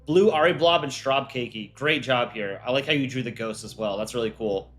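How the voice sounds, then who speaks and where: A man's voice: mid-range voice, fairly quick, fairly bright tone. A man hosting an art contest on a livestream, reading out viewer chat and answering it offhand in casual talk.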